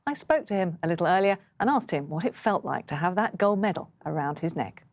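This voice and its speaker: A woman, a news presenter interviewing guests, with brisk conversational questioning. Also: fairly low pitch, expressive.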